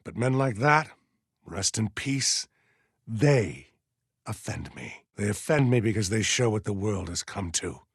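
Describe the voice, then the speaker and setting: A man voicing a stern, aging militia colonel in a video game, formal and measured as he gives orders with deliberate pauses. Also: fairly low pitch, animated, husky, no echo, clean studio sound, exaggerated voice. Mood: grave, brooding. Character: stern aging militia colonel, dutiful, zealous, wary, slightly forgetful. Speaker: military commander giving orders. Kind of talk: scripted video game character dialogue.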